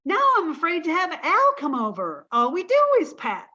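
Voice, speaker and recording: A woman's voice: high voice, wildly swooping pitch, moderate pace, bright, forceful tone, lo-fi sound, heavily acted. A woman playing a naive, earnest, scatterbrained young woman in 1940s-style radio comedy. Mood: dismayed. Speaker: actress in theatre company.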